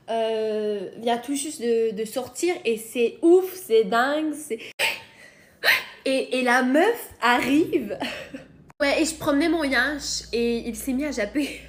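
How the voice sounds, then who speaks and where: A woman's voice: high voice, reverberant. A woman in a comedy sketch, voicing a Québec singer who affects a Parisian accent and drawls with trendy slang. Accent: put-on Parisian French.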